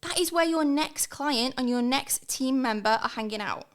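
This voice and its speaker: Energetic English woman, ranting guest. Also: sits high, moderate pace, smooth. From England. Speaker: guest consultant. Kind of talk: sponsored podcast monologue.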